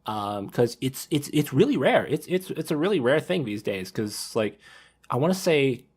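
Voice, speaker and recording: A man's voice: medium pitch, sing-song, normal speed, slightly rough. A man on a podcast panel chatting casually, tentatively thinking aloud as he goes.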